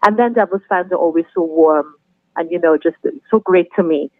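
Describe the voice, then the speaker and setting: A middle-aged woman with a Trinidadian accent, chatting warmly and laughing easily as a guest on a podcast interview. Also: fairly low pitch, moderate pace, dry recording. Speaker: guest, anthem singer. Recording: tinny phone line, dry.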